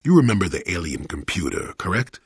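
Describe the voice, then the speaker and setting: Crusty elderly ex-Jedi hermit for a game; husky, animated, wry rambler, recorded close and dry. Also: male voice, low voice, brisk, dry recording, full character voice. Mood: teasing, curmudgeonly. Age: older. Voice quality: gravelly, husky. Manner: wry, grumbling, rambling storyteller. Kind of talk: video game character dialogue.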